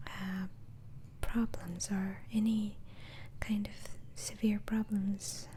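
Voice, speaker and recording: A woman whispers very slowly in a dark, soft, breathy voice. She plays an interviewing doctor in an ASMR role-play, putting gentle questions with halting pauses. There is clear noise in the recording. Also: mid-range voice, little pitch movement.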